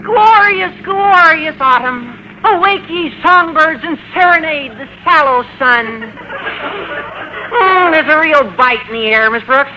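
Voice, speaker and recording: A man playing an excitable, eager teenage high school boy in an old radio comedy, with a theatrical, slangy delivery. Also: a little slow. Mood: grandly enthusiastic. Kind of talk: 1940s-50s radio comedy acting. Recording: noisy old-time radio recording.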